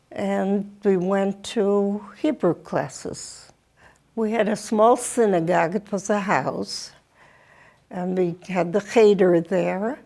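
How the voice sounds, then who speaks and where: A woman in her eighties from Poland, gently recalling her village childhood in a seated indoor interview. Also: mid-range voice, vocal fry. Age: 80s. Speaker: Holocaust survivor interviewee. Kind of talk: recounting childhood memories. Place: indoor interview set with photo wall.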